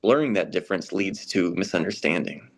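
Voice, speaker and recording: A man's voice: low-pitched, lively intonation, a little fast. A man playing a frank, troubled American churchgoer who wants change, speaking earnestly in a conversational readers theater dialogue. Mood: firm, earnest.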